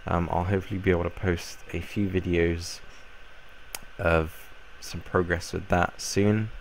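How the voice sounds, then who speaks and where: A young man with a croaky voice from a cold, casually explaining a game build in a rambling way. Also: sits low, measured pace, slight background noise. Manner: relaxed, rambling explanation with fillers. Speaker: Minecraft YouTuber. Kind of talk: casual gameplay tutorial.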